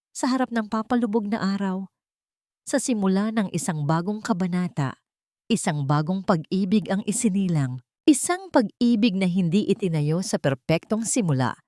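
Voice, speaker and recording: A woman's voice: medium pitch, darkish tone, no echo, studio quality. A woman reading a scripted dramatic story as its narrator.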